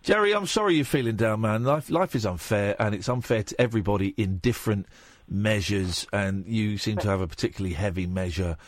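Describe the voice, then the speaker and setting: A man hosting a late-night radio phone-in, joking with callers in a teasing, deadpan way. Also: brisk, dry recording. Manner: teasing, deadpan jokes, swings from low mock-gloom to high protest. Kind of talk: late-night call-in banter.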